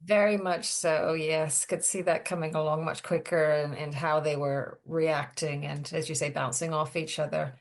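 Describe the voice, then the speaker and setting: A woman's voice: low voice, fairly even intonation, moderate pace, few pauses. A woman speaking as a writer, reflecting casually on her own work. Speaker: writer, guest.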